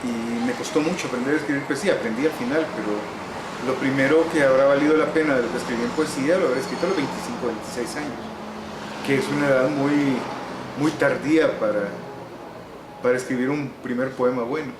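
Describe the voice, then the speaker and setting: Reflective Salvadoran man, echoey room. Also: mid-range voice. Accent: Salvadoran Spanish. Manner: unhurried, reflective, with frequent "eh" pauses and wry humor. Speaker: interviewee, novelist and musician. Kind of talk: relaxed recorded interview. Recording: echoey room with air-conditioning hum.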